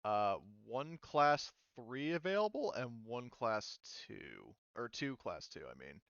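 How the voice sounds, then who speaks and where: A man's voice: mid-range voice, big pitch swings, takes it slowly, gravelly, a bit bright, clear, resonant tone, no room sound, dull audio. A man running a tabletop roleplaying game, explaining rules in a rambling way full of fillers. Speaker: game master.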